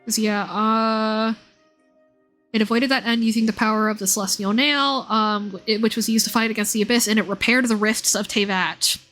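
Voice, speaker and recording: High-voiced, excitable woman streamer musing aloud. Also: moderate pace. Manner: excitable, exclamatory, musing in half-finished thoughts. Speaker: livestream host playing a game and discussing its lore. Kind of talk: casual livestream commentary, thinking aloud. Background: game music under the voice.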